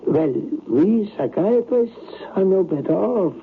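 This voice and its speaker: An actor playing a skeptical, patronizing psychiatrist in an old radio drama, with a deliberate, lecturing manner. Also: male voice, sits high, expressive, full character voice. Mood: assured, lecturing. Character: probing, skeptical male psychiatrist, confident and patronizing. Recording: narrow, noisy vintage radio broadcast.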